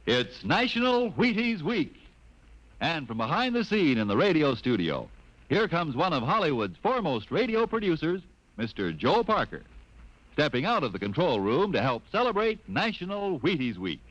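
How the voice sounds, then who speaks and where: A man announcing in an upbeat, smooth style for a 1950s radio commercial. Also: a little high, fairly bright tone, no room sound. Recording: dull, dry old radio transfer.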